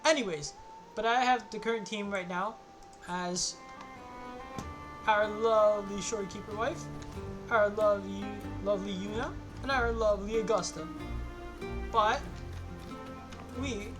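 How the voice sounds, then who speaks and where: A game streamer chats casually to viewers during a livestream. The voice sits at a medium pitch, with soft music playing underneath. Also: steady tone, a little slow, pauses often. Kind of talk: casual livestream chat with gameplay.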